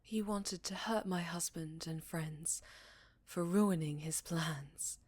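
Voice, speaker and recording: A woman playing a haunted, sorrowful vampire in an ASMR roleplay, telling her tale slowly with a Southern accent and a breathy voice that often slips into whispers. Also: on the low side, darkish tone, no room sound. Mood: haunted, fearful. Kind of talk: ASMR roleplay, intimate dramatic storytelling.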